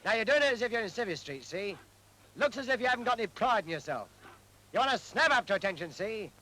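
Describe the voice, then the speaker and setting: An actor playing a strict British army corporal drilling new recruits with clipped commands, in a 1940s film. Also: male voice, high-pitched, big pitch swings, quick pace, pushed and bright, exaggerated voice, rough recording.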